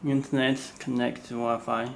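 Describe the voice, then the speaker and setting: A man who is not a native English speaker, giving an unscripted product walkthrough in halting, simple phrases with frequent pauses. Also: medium pitch, flat delivery, vocal fry. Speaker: product demonstrator. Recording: faint background noise.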